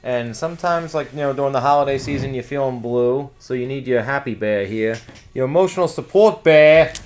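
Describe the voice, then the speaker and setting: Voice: mid-range voice, brisk, darkish tone, a little hiss or hum. A co-host of a casual news show who rambles jokily through announcements, breaking into goofy exclamations. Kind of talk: casual comedic banter while reading event announcements.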